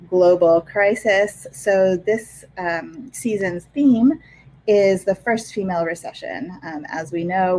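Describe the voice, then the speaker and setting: A woman hosting a show over an online call, introducing it in a halting, informal, conversational way. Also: lowish voice, a little mellow, rough recording. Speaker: podcast host, culture designer. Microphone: remote online call. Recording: faint background noise.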